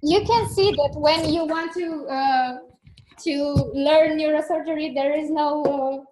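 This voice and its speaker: Young romanian woman hosting, lots of uhs, high voice. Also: fairly even intonation, unhurried, darkish tone. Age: young adult. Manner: hesitant, many fillers. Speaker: student club organizer. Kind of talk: hosting a video meeting. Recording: echoey room, faint noise.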